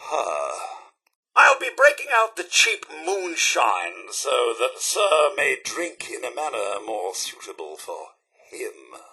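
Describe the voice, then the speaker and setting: A man's voice: fairly high pitch, sharp tone, breathy edge, heavily acted. A man playing a fussy, prim robot butler in a video game, with a pompous, over-polite, theatrical delivery. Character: fussy, prim male robot butler, servile yet snide.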